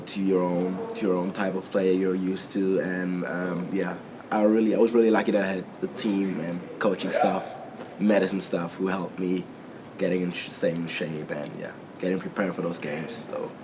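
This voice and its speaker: A man in his twenties from Germany answering interview questions, hesitant with many fillers and restarts. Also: low voice. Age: 20s. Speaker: student athlete interviewee. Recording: noisy interview recording.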